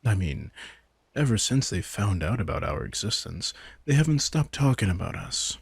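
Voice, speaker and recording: A smug vampire king, played by a man in an intimate audio roleplay. His voice is low and breathy-rough, recorded very dry. He talks in a laid-back, informal way, with wry, teasing manipulation. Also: big pitch swings, normal speed, no room sound, exaggerated voice. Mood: wry, boastful. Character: sardonic, casual young-sounding male vampire king, smug and manipulative.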